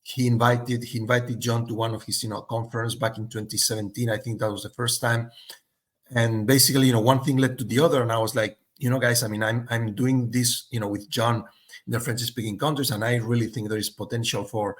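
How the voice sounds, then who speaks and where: A man's voice: mid-range voice, little pitch movement, a little fast. A middle-aged man from Spain, talking as a guest in an interview, thoughtful and full of fillers and restarts. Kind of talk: casual interview chat.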